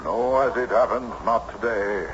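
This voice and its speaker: An actor playing an eerie country tale-teller in a vintage radio drama, with deliberate old-fashioned phrasing. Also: male voice, on the low side, highs cut off, close to an everyday voice. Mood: ominous, confiding. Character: loquacious male country teller of eerie tales, weary traveler. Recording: noisy band-limited old radio recording.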